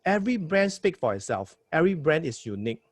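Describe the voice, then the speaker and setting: A man's voice: fairly high pitch, sing-song, quick pace, dry recording. A man from Singapore with a Singaporean English accent, giving casual advice as a podcast host and punching points with repeated "right?" tags. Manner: emphatic, repeats words, tag questions like "right?". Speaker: podcast host advising a new host.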